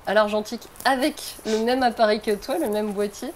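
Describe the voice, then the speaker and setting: A woman's voice: medium pitch, steady tone, a little fast, a little hiss or hum. A woman conducting a casual interview, informal and attentive, often chiming in briefly as she listens. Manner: informal, attentive, frequent backchannels.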